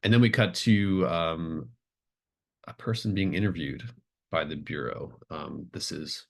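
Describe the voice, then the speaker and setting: A man running a tabletop roleplaying game, narrating off the cuff in a halting way as he thinks aloud. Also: extremely low pitch, vocal fry. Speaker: game master.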